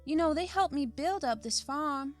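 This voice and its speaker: A woman playing a gentle, earnest grandmother from a farm in a fantasy visual novel. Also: high voice, expressive, moderate pace, faint music behind. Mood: tender, earnest. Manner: tender, earnest, reassuring. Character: gentle elderly grandmother who runs a farm.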